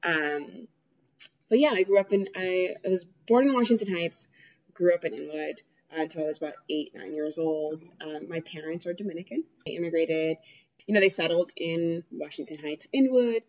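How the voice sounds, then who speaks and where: A woman's voice: lowish voice, normal speed, a little mellow, poor audio. A young woman from New York City, chatting easily in an interview, laughing often and using plenty of fillers. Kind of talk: casual interview conversation.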